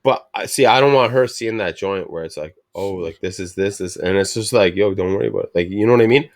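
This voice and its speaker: Man, low voice, slangy, casual storytelling, dry sound. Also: fast, no room sound. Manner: slangy, animated, acts out quotes of family. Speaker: podcast co-host. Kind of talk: casual chat with cursing and storytelling.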